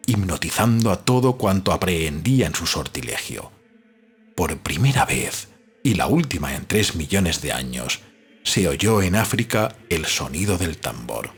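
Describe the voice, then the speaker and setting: A man reading a novel aloud as a narrator, in a measured, solemn storytelling manner. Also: low-pitched, breathy edge. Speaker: audiobook narrator. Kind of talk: scripted literary narration of a novel.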